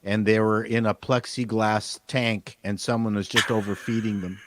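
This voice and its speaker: A man's voice: lowish voice, level tone, moderate pace, background noise. A man chatting on a casual panel in an opinionated, emphatic way.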